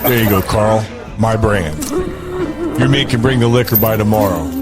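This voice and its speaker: Actor as sadistic enforcer under very loud music. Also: male voice, fairly low pitch, moderate pace, lightly acted. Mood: cruel taunting. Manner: taunting, cruel. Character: sadistic, swaggering male regime enforcer villain. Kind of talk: audio drama acting.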